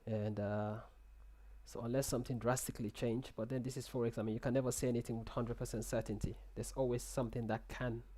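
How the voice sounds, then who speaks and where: A man's voice: mid-range voice, level tone, fast, mellow. A young man hosting a live trading stream in casual chat, reading and answering viewer comments with many restarts and repeated words, speaking into a headset in a small room.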